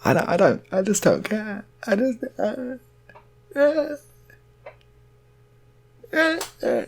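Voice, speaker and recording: Guy doing a whiny teary desperate man in a made-up story, voice way up high and strained, keeps stammering. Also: wildly swooping pitch, slow pace, gravelly, exaggerated voice. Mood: whimpering despair. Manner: stammering, repeating himself. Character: distraught armed man, whining, tearful, stubbornly self-loathing.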